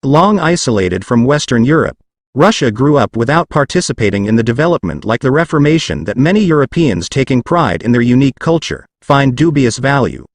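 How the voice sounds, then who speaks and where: A man's voice: mid-range voice, sing-song, a little fast, dry recording. Male test-prep reader, mid-range voice with varied intonation, read-aloud style, very dry recording. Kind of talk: reading practice passages aloud.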